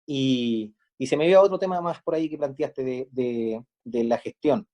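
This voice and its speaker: A man's voice: mid-range voice, normal speed, dark tone. A man from Chile with a Chilean accent, explaining things step by step in an interview as a sports federation manager. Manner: methodical, explaining step by step with examples.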